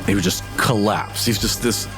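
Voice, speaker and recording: A man's voice: lowish voice, fairly even intonation, normal speed, over music. A man playing a tabletop game, casually narrating his character's moves and dice rolls.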